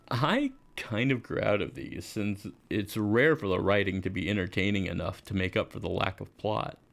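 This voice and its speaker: A man playing an awkward, mild high school boy, narrating in first person with a hesitant, understated delivery. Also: gravelly. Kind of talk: first-person visual novel narration and dialogue.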